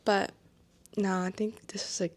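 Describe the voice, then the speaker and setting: A young woman from Massachusetts hosting a casual podcast chat. She speaks softly and reflectively, with many ums. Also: mid-range voice, level tone, a little slow. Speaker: podcast host and editor.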